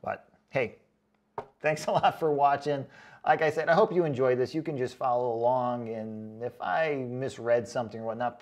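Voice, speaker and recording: A man hosting a casual vlog, reading out comments in a chatty, joking way with sarcastic asides and drawn-out comic emphasis. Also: a little high, a little mellow. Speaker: online boot reviewer and video host.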